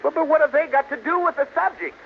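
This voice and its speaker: A man's voice: extremely high pitch, fairly even intonation, fast talker, gravelly. A man playing a long-suffering, dryly sarcastic husband in a 1940s radio sitcom. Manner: dry, sarcastic. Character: wry, long-suffering husband of a scatterbrained wife. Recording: noisy, muffled old radio recording.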